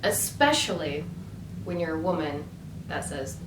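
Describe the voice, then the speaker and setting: A woman's voice: sits low, a little slow, noisy background. A woman vlogging in a casual, chatty storytelling way, full of fillers and restarts. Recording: echoey room from a distance with faint ambience.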